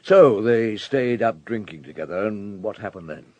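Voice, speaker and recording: An actor in a radio drama playing a patient French police detective who questions with quiet, sceptical courtesy. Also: male voice, fairly low pitch, animated, normal speed, dry recording, muffled recording. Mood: probing, sceptical.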